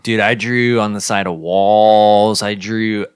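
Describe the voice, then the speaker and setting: A man acts out a shady small-town stoner and graffiti tagger for improv comedy. His voice is lowish and clean, recorded dry, and he rambles in chummy, slightly defensive slacker slang. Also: steady tone, takes it slowly, smooth, no echo. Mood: rambling, easygoing. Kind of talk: improvised comedy phone-in character.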